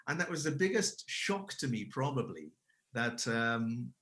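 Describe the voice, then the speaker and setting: Guy from wales, 40s, chatty guest, keeps restarting sentences, middle pitch. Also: sing-song, unhurried, fairly bright tone. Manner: rambling, frequent restarts and self-corrections. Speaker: guest, English school owner. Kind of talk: casual interview storytelling.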